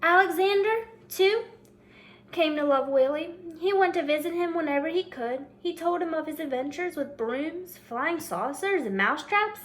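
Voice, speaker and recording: A woman's voice: extremely high pitch, lively intonation, moderate pace, a little hiss or hum. A woman reading a picture book aloud to children like a teacher, commenting and prompting them with questions.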